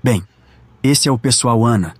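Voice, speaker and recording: A man's voice: mid-range voice, moderate pace, slightly rough, dry recording, clean studio sound, close to an everyday voice. A man playing a confident, popular schoolboy in an audio drama.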